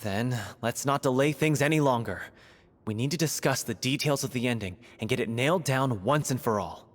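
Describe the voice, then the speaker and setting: An actor playing a practical male troupe member who speaks in a brisk, matter-of-fact way. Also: mid-range voice, lightly acted.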